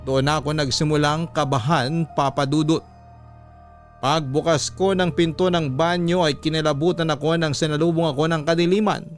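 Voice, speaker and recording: A man with a Filipino accent reading a listener's story as dramatic, measured radio narration. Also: on the high side, fairly even intonation, faint music behind.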